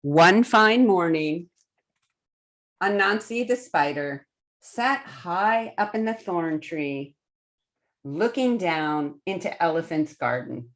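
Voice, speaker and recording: A woman's voice: lowish voice, expressive, slow pace. A woman reading stories aloud to young children on a video call, in simple, encouraging phrases. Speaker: children's storytime reader. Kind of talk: animated read-aloud storytelling to children.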